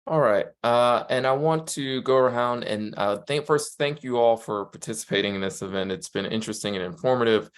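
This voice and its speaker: A man's voice: mid-range voice, a little fast, hardly any pauses, clean tone. A man moderating a virtual candidate forum, polite and a little hesitant, with many fillers. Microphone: video call.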